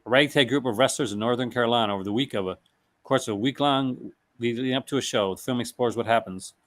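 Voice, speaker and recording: A man's voice: medium pitch, quick pace, fairly bright tone. Casual man reading movie blurbs aloud. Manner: reads product blurbs aloud, stumbling and restarting, laughs often. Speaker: host. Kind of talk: casual chat.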